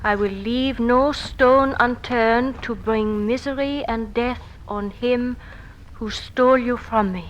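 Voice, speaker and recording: A woman's voice: fairly high pitch, slow pace, muffled recording. An actress playing an anxious, earnest lady in a dramatic 1940s radio melodrama. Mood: tense. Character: anxious, earnest young lady of a troubled household. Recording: noisy old radio recording.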